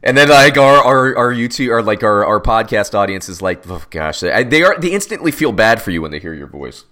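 A man's voice: on the low side, very animated, brisk, bright, forceful tone. A young man co-hosting a casual sports podcast, teasing his partner and rambling with restarts. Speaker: podcast co-host.

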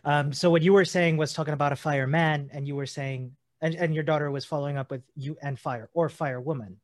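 A man's voice: a little high, fairly even intonation, dry recording. Earnest man with a dry, close sound. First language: not German. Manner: earnest, halting with many restarts. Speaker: podcast co-host. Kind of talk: conversational podcast discussion.

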